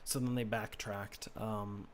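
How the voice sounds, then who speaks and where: A man's voice: little pitch movement, takes it slowly, slight background noise. Rambling young man streaming a game. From United States. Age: teens. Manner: rambling, musing, low-key; talks lower than his natural voice. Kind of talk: casual chat while gaming.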